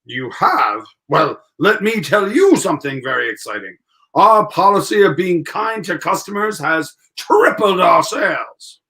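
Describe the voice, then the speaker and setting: A man's voice: sits high, sing-song, measured pace, sharp tone, heavily acted. A man acting a blustery, shrewd middle-aged department store boss in a 1940s-style radio play. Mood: hearty, pleased.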